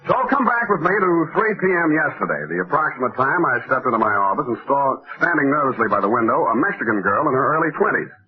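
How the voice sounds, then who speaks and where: A wisecracking American private eye, played by a male actor in a vintage radio drama. He is glib and wry, cocky with a flirtatious streak. The recording is dull and lo-fi, and his pitch sits in an ordinary male range. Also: very animated, fast talker, hardly any pauses, over a noisy crowd, close to an everyday voice. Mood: wry narrating. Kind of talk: old-time radio detective acting, dictation and narration.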